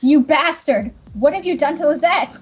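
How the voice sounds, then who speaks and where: High-voiced defiant princess acted by a woman. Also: normal speed, a little hiss or hum, close to an everyday voice. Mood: indignant. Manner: earnest, indignant pleading. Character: defiant young princess heroine, proud and anguished. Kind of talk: fan-dub game acting and narration. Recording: music behind.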